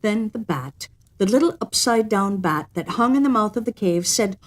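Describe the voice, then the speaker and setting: A woman storyteller narrating a children's tale expressively, with a measured storytelling cadence. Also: medium pitch, animated.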